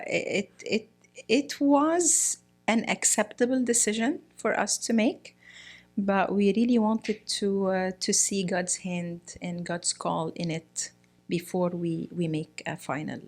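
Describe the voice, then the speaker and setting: A middle-aged woman from Egypt, a guest speaking in a casual interview, often pausing with fillers and restarting her sentences. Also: medium pitch, a little mellow, soft background music. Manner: hesitant, many fillers and restarts. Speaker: guest, priest's wife.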